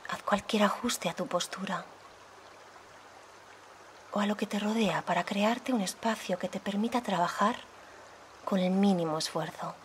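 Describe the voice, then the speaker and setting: A woman leading a guided meditation as a yoga guide, speaking softly and soothingly, unhurried, with pauses between phrases. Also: medium pitch, a little hiss or hum. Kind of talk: guided meditation, slow calm instruction.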